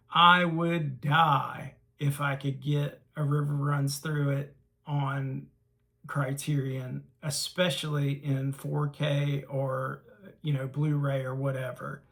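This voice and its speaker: A man hosting a casual film collection review, talking haltingly with frequent pauses and fillers. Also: on the high side, vocal fry, fairly bright tone. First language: English. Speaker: host.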